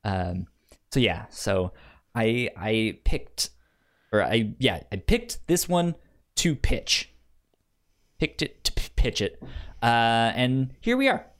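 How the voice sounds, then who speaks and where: A man's voice: mid-range voice, expressive, takes it slowly, long pauses, fairly bright tone. A man hosting a casual podcast, often stammering and repeating his words. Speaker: podcast host.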